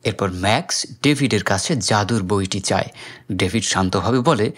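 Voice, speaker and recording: A man's voice: on the low side, normal speed, slightly rough. A man with a Bangladeshi accent narrates a film recap as scripted storytelling.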